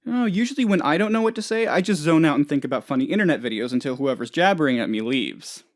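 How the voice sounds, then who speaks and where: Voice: mid-range voice, expressive, fast talker, lightly acted. An actor as a rambling, swooping, anxious dim office boss. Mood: awkward, earnest. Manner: rambling, hesitant, whiny when upset. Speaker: video game character. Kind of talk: comedic game dialogue, voice lines.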